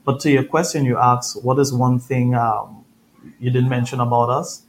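Brief: Trinidadian man, reflective interview guest, mid-pitched voice, faint noise behind. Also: steady tone, moderate pace, poor audio. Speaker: podcast guest. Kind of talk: conversational interview storytelling.

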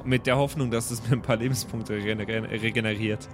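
Male tabletop player, casual improvised role-play chat, music clearly audible underneath. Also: lowish voice, fairly even intonation, quick pace.

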